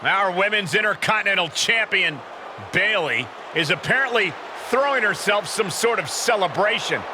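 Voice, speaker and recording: An excited ringside wrestling commentator with a high voice. He announces emphatically in video game play-by-play style, with clear noise behind him. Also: normal speed. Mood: announcing. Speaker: sports commentator.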